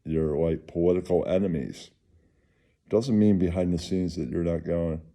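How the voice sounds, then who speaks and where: A man hosting with casual, rambling political commentary, halting and repeating himself, sometimes crude. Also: low-pitched, fairly even intonation, warm tone. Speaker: host.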